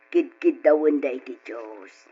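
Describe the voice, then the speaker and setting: An actor playing an affectionate mother of a grown son in an old radio drama, unhurried and emotive, with an Ilocano accent. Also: medium pitch, husky. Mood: tender, serious. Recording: dull lo-fi radio recording with music.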